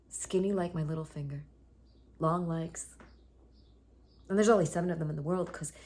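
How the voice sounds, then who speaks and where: A woman playing a traumatised, lonely young woman in a theatre monologue, halting and intense, often slipping into a breathy hush. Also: fairly low pitch. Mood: wistful, wondering.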